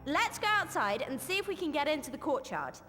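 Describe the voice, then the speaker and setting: A woman's voice: high-pitched, sing-song, brisk, sharp tone, exaggerated voice. An actress playing an earnest, bossy schoolgirl witch in a video game, decisive. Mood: brisk, concerned. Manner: decisive, matter-of-fact. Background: music.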